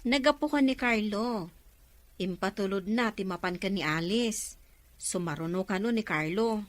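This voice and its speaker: A woman's voice: medium pitch, normal speed, close to an everyday voice. A woman playing a nosy, envious village gossip in an audio drama, exclamatory in manner. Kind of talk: Ilocano audio drama acting.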